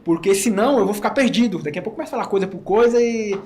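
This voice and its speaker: Man, reporter guest, high voice, Northeastern-São Paulo mixed accent, animated storyteller. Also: rapid-fire. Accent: Northeastern Brazilian mixed with São Paulo. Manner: animated storyteller, often says "cara". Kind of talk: casual podcast chat.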